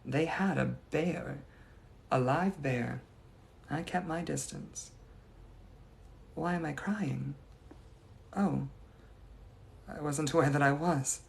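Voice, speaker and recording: A man's voice: on the high side, slow pace, creaky, pauses often, heavily acted. A man voicing the ghost of a young lady from the 1880s, speaking in a formal, old-fashioned and gracious manner. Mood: wistful. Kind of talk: improvised live roleplay answering viewers.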